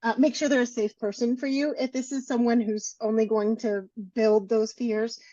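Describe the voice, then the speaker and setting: Bright-voiced woman on a dull, dry mic. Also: a little high, flat delivery, normal speed, no echo. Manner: earnest, encouraging, list-like. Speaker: podcast co-host, coach. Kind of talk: casual podcast chat.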